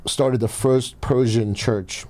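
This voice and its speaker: A man's voice: mid-range voice, fairly even intonation, slow pace, mellow. A man from Iran telling personal stories casually as a podcast host, blunt and swearing freely.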